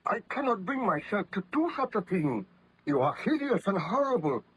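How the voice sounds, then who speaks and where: An actor playing a haunted, fearful baron scientist in formal, melodramatic 1930s radio drama style. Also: male voice, high-pitched, sing-song, normal speed, a bit bright, breathy edge, exaggerated voice. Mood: anguished, pleading. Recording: muffled vintage radio recording.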